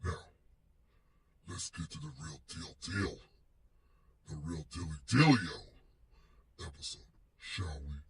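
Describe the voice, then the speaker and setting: A man hosting his own web series, talking casually to fans in slangy, boastful, drawn-out emphatic phrases, with a gravelly, husky voice. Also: mid-range voice, a bit bright, distant mic. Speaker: host of a personal web series. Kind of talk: casual solo rant to fans.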